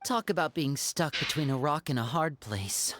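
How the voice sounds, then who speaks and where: A woman playing a composed, serious monster hunter in a video game, measured and formal. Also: low-pitched, expressive, a little hiss or hum. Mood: resolute. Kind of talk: polished game voice acting.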